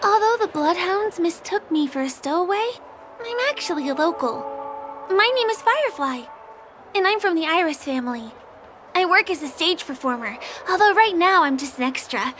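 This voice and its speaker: An actress voicing a cheerful, gentle young woman who works as a local tour guide in a video game, speaking politely and eagerly. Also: very high-pitched, expressive, normal speed, a little hiss or hum. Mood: polite, earnest. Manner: polite, friendly, eager to please. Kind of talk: English video game dub dialogue. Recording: game music behind the voice. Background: background game music.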